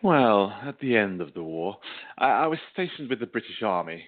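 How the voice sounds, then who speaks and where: An actor playing a flustered, halting gentleman and war veteran in a radio play. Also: fairly low pitch, big pitch swings, phone-quality sound.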